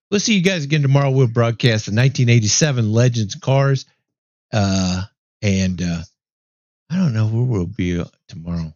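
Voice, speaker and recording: A man hosting a race broadcast in a casual chat, easygoing and upbeat, his voice going hoarse. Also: mid-range voice, animated, a little slow, long pauses, a little mellow, no room sound. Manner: easygoing, upbeat, rambling with fillers. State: losing his voice, clearing throat. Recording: dry, dull lo-fi mic.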